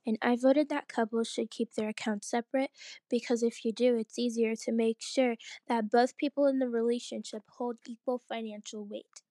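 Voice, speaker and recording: A young woman gives a composed voicemail statement in a slightly high, flat voice. Her tone is mellow, and the sound is dry and close. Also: moderate pace, no echo. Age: young adult. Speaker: voicemail caller.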